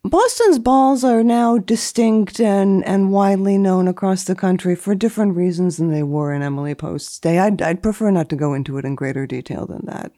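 A woman speaking as a guest columnist in a deadpan, theatrical manner, as if giving a dramatic reading. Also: mid-range voice, moderate pace, hardly any pauses, no room sound, clean studio sound. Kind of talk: dramatic reading of a book index and deadpan commentary.

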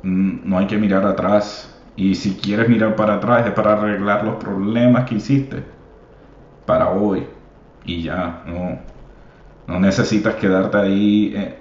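Deep slow-talking man on a podcast. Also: darkish tone. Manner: switches between Spanish and English, many fillers; encouraging, calls listeners papi. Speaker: podcast host. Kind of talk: casual podcast monologue. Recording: echoey room, slightly dull sound.

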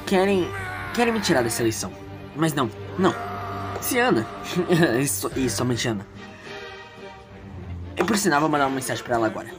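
Man acting anxious, emotive Brazilian schoolboy, swinging pitch. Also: sing-song, fairly quick, pauses often, music in the background, lightly acted. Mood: frustrated. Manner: emotive, emphatic, repeating words when agitated. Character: anxious, insecure, ambitious teenage schoolboy running for election. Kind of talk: dramatic first-person narration and dialogue in audio drama.

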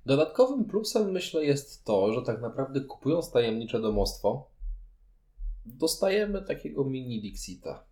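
A man's voice: mid-range voice, big pitch swings, moderate pace, dark tone, echoey room. A man chatting casually as co-host of a board game review show. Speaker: podcast co-host.

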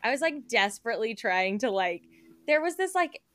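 A chatty young woman co-hosts a podcast in a bright, slightly high voice, leaning on "like" as she talks. She laughs often and keeps a loose, casual flow. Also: very animated, moderate pace. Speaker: podcast co-host and editor. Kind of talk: casual chat.

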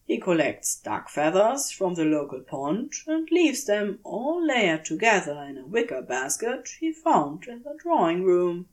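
A woman reading a scripted story aloud in an expressive, gentle, deliberate way, letting phrases end softly. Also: on the low side. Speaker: audiobook-style fan fiction reader.

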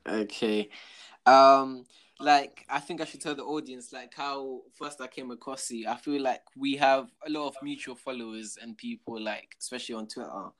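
A man's voice: mid-range voice, normal speed, a bit bright. A young man from England hosting a casual podcast interview, talking with frequent ums, fillers and restarts. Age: young adult. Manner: hesitant, many ums and restarts. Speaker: podcast host.

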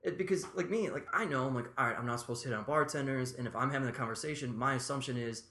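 A man's voice: medium pitch, racing, bright, forceful tone. A man hosting a podcast, talking casually and explaining his views at length. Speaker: podcast host. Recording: roomy echo.

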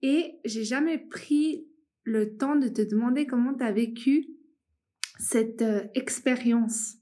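A woman's voice: on the high side, slow, dark tone. A young woman hosting a podcast, asking her guest questions in an informal, friendly way with some fillers. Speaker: podcast host, interviewer. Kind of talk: casual interview questions.

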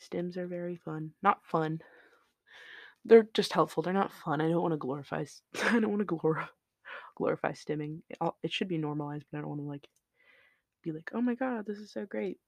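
A podcast host talking in an unscripted solo chat, with a flat, monotone delivery, rambling from one tangent to the next with lots of fillers, into a shelf-mounted mic. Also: mid-range voice, brisk, gravelly, dry recording. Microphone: shelf-mounted studio mic.

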